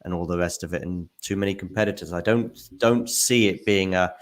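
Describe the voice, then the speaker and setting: A man's voice: sits low, fairly quick. A man hosting a casual podcast chat, self-deprecating and chatty. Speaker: podcast host.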